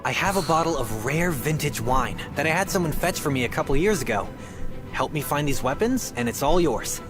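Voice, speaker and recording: An actor playing an earnest, pleading young rookie adventurer, sheepish and hesitant, in an anime game. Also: male voice, on the high side, animated, fairly quick, close to an everyday voice. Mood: hopeful pleading. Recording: game music underneath.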